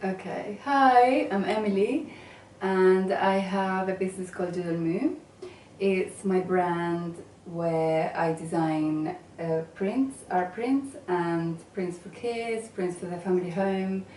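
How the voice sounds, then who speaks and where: A woman with a low, mellow voice answers interview questions in a relaxed, halting way, with lots of ums and restarts. She sounds set back from the microphone in an echoey room with faint background noise. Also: distant mic. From England and Venezuela. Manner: hesitant, with many fillers and restarts. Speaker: guest, graphic designer.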